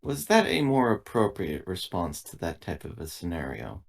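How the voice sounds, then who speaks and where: Man playing a stiff, low-voiced android. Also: sing-song, moderate pace, vocal fry, full character voice. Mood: calm, matter-of-fact. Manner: stiff, precise, formal wording. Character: formal, literal-minded android crew member, calmly menacing.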